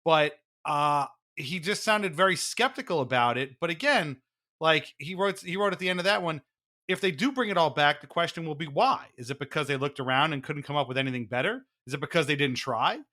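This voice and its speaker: Bright-voiced man chatting about sports. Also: on the high side, brisk. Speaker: podcast co-host. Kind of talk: casual sports talk.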